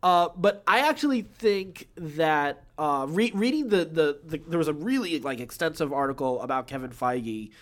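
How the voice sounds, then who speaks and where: A man's voice: fairly high pitch, lively intonation, moderate pace, few pauses, fairly bright tone. A man co-hosting a podcast, chatting casually about film news. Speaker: podcast co-host.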